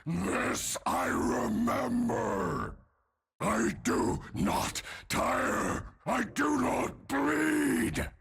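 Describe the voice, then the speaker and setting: An actor playing a hulking undead warrior in a video game, raging and bloodthirsty in a raspy growl, with slow menacing declarations that burst into roars. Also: male voice, very high voice, sing-song, a bit bright, exaggerated voice. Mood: roaring bloodlust. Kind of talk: video game character voice lines.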